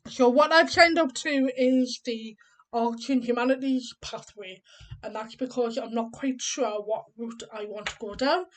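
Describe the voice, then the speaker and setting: A woman in her thirties talks casually to camera in a slightly high voice. She is deaf, and her speech sounds a bit different because of it. She rambles through her explanations step by step, with plenty of ums along the way. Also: rough recording. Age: 30s. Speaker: vlogger.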